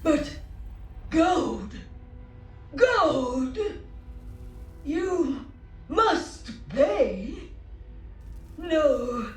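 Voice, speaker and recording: A woman voicing a greedy, monstrous toll collector in a video game, chanting slowly in broken speech. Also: high-pitched, big pitch swings, heavily acted. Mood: menacing greed. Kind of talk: video game character acting. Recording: distant, roomy echo, faint music.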